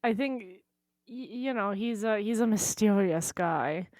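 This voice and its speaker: A woman's voice: mid-range voice, animated, unhurried, a little mellow, dry recording. Casual woman podcaster, dry close sound. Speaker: podcast host. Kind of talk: casual chat about fiction.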